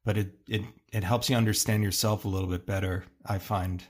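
A middle-aged American man telling personal stories in an interview in a thoughtful, halting way, often pausing mid-phrase. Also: fairly low pitch, steady tone. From United States, suburban Long Island. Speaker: interviewed artist. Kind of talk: reflective interview storytelling.

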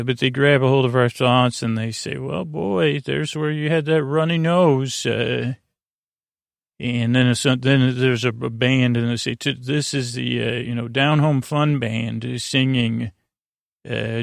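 A man with a creaky, fry-laden voice hosts a sleep podcast in a dry recording with no room sound. He wanders through his sentences in a soothing, lulling way. He often hesitates, trips on words and circles back to repeat them. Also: medium pitch, steady tone, unhurried, no echo. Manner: rambling, hesitant, stumbling over words and repeating himself. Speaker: podcast host. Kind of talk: meandering bedtime monologue.

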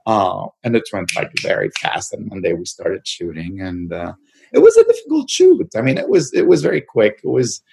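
A man's voice: fairly low pitch, huge pitch swings, normal speed, gravelly, darkish tone, slight background noise. A man, an actor and producer, answering interview questions in a loose, searching way, repeating words and adding many fillers.

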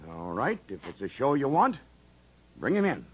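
A man acting a stern, officious, dismissive prison commissioner in a 1950s radio drama, with a husky voice. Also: medium pitch, huge pitch swings, moderate pace. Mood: scornful, stern. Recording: muffled 1950s radio broadcast.

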